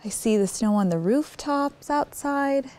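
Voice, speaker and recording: A woman's voice: high-pitched, animated, a little slow, darkish tone. A woman hosting story time, reading a picture book aloud expressively and putting simple questions to young listeners. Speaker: children's story time host.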